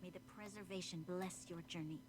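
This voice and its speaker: A woman's voice: fairly low pitch, fairly even intonation, natural voice. Woman playing a dignified, earnest young leader, faint noise. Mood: solemn, resolute. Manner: formal, measured, solemn. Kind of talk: video game voice acting.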